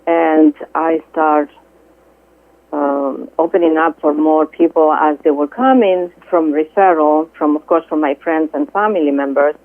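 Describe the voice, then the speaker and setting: A middle-aged woman from Greece with a Greek accent, a guest speaking earnestly and haltingly, with many fillers, over the phone. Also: low voice, fairly even intonation, no echo. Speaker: guest, Reiki healer. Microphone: telephone. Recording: tinny dry phone line.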